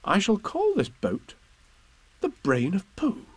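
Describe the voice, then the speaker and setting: Excitable storybook boy, high and bright, bouncy pitch, done by a male reader. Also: takes it slowly, husky, no room sound, exaggerated voice. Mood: eager. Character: eager young boy, the bear's friend.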